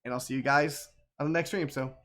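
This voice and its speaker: A man's voice: medium pitch, steady tone, a little fast, heavy background noise. A man giving casual, rambling game commentary as a streamer, often repeating himself.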